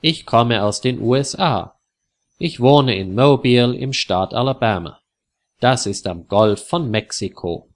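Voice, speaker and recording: A man voicing a polite, cheerful male tourist in a slow storybook for language learners. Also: medium pitch, close to an everyday voice.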